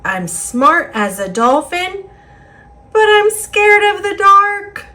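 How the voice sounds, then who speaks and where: A woman's voice: very high voice, very animated, slow pace, bright, forceful tone, voice pushed up, exaggerated voice. A woman voicing an earnest, anxious male fish from a picture book in emphatic, singsong rhyme. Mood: boastful, scared. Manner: emphatic, singsong rhyming declarations. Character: earnest, anxious male cartoon fish, brave but afraid.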